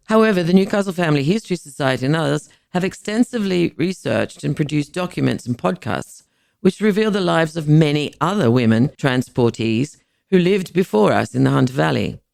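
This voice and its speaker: A woman narrates a scripted history podcast. Her delivery is measured and formal, with carefully placed phrases. There is a noticeable vocal fry, and the sound is dry, free of room reflections. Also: sits low, expressive, a little mellow, dry recording.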